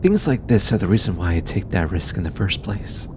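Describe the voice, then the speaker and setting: A man voicing a gentle, teasing alien scientist in an intimate audio roleplay, eager and given to chuckling. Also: deep voice, big pitch swings, a little fast, noisy background. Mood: focused, explaining. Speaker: voice actor.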